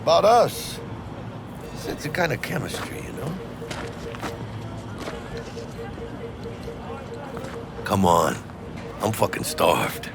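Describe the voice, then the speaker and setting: A man's voice: on the low side, huge pitch swings, unhurried, creaky, background noise, exaggerated voice. A male actor voicing a brash, big-hearted street mercenary in a video game, with a Mexican American accent and slangy talk sprinkled with Spanish. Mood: friendly. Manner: slangy, swaggering, Spanish words mixed in.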